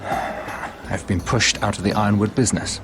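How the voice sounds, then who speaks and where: A man playing a worldly, sardonic merchant lord in a fantasy game, with a smooth, wry delivery. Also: lowish voice, steady tone, moderate pace, close to an everyday voice. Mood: bitter, wry. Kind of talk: fantasy video game acting. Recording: music behind the dialogue.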